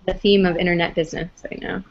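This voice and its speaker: A woman's voice: lowish voice, little pitch movement, quick pace, highs cut off. A woman hosting a casual live web interview in a chatty, conversational way. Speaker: host of a software company's web show.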